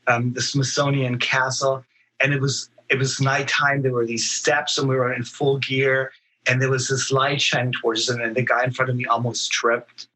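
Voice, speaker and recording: A man speaking as a guest actor in a casual podcast interview, telling stories in a halting, thoughtful way. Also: mid-range voice, level tone, bright, forceful tone, poor audio.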